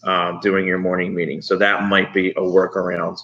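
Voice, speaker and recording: A low, forward-sounding male voice on a slightly dull recording. He speaks as a grade school teacher, talking pupils through things in a conversational, instructive way. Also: monotone, moderate pace, pushed and bright. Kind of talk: informal online read-aloud and assignment talk to pupils.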